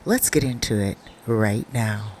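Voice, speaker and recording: This is a story channel's narrator, opening the episode with a short scripted introduction. The delivery is brisk and matter-of-fact at a middling pitch. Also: slight background noise.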